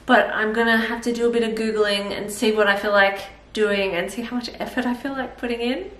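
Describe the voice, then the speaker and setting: A woman's voice: a little high, steady tone, a little fast, fairly bright tone, distant mic. A woman in her thirties with an Australian accent, chatting casually in a rambling way while cooking at home in her kitchen. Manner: rambling, chatty, thinking aloud with fillers. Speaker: vlogger. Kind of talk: casual cook-along vlog chat. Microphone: camera mic a few feet away. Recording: roomy kitchen echo, voice from off-mic.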